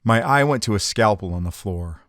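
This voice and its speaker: A sardonic gumshoe, played by a man narrating a noir radio play. His voice is on the low side and closely recorded, with a deadpan hardboiled delivery. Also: normal speed, dry recording, clean studio sound. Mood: wry, tense. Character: wry, hardboiled male private eye.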